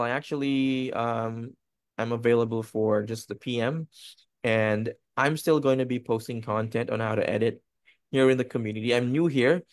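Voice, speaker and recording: A man's voice: mid-range voice, no echo. A man whose first language is Filipino, speaking as a video editor in a casual webinar Q&A, loose and conversational, often checking in with listeners. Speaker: video editor, guest presenter.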